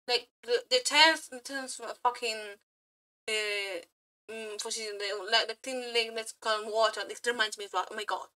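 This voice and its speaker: A woman streamer giving casual live reactions, excitable, scattered and exclamatory, with some swearing. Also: a little high, moderate pace, poor audio. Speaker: streamer reacting to game trailers. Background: background music.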